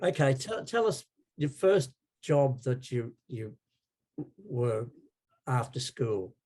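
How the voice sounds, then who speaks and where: A man speaking very slowly at a medium pitch. He is an interview co-host, casually and haltingly putting questions to a guest. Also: big pitch swings, a little mellow. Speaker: podcast co-host.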